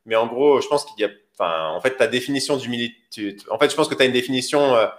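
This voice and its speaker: A man's voice: medium pitch, fast. A man co-hosting a casual live discussion, feeling his way through points with hesitant, rambling phrasing. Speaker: co-host of a live discussion show. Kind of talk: casual live interview chat. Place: indoors, sitting beside co-host. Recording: roomy, echoing live-stream sound.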